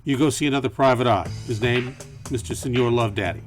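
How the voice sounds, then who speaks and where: An actor playing a hard-boiled, world-weary private eye in a campy noir radio play, with an American accent and a deadpan, clipped manner. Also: male voice, mid-range voice, fairly quick, close to an everyday voice. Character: hard-boiled, wry male private eye narrating his case. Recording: music behind the voice.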